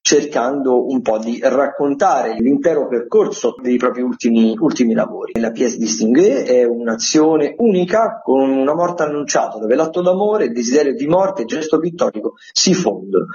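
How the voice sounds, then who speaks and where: A man hosting a radio show, reading out theatre listings in a semi-improvised, digressive way, often halting and correcting himself with asides. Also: mid-range voice, animated, warm tone, lo-fi sound.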